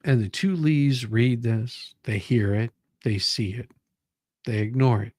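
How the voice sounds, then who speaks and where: A man reading documents aloud and commenting on them in a halting, deliberate way. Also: mid-range voice, a little mellow, dry recording, studio quality. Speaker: commentary show host.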